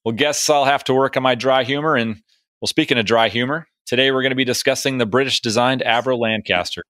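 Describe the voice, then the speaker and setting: A man's voice: medium pitch, steady tone, fairly quick, fairly bright tone, dry recording. A man cohosting an aviation podcast, chatty and upbeat, with a few jokes between topics. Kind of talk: podcast monologue and interview.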